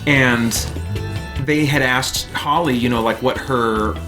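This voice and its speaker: A man hosts a casual gaming livestream in a conversational way. His voice has a middling pitch, and game music plays clearly behind him. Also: steady tone, takes it slowly. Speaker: livestream host. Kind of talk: casual chat while streaming a video game.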